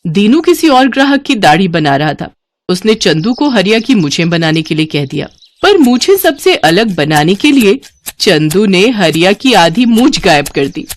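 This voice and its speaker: A woman's voice: mid-range voice, big pitch swings, normal speed. A woman narrating a children's moral tale as scripted storytelling.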